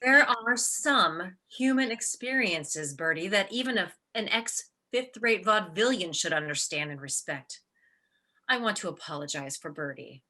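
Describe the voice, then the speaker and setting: A woman's voice: on the low side, expressive, a little slow, pushed and bright, exaggerated voice. A woman playing a wry, commanding theater star with a theatrical manner in a radio play. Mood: haughty. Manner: theatrical, sardonic.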